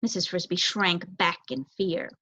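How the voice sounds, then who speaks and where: A woman's voice: on the low side, normal speed, pushed and bright, no echo. A young adult woman reading a children's story aloud as scripted narration. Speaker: storybook reader, student. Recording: dull, lo-fi close recording.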